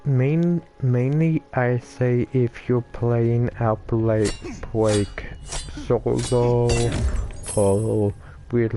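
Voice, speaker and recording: A man giving casual gameplay commentary in a halting, stuttering way, with many restarts and fillers. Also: on the low side, warm tone, a little hiss or hum.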